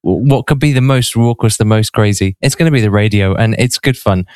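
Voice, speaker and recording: A man chatting in a thoughtful, measured way, with fillers as he searches for words. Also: on the low side, darkish tone, clean tone, no room sound, clean studio sound. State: hay fever. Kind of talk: casual conversation.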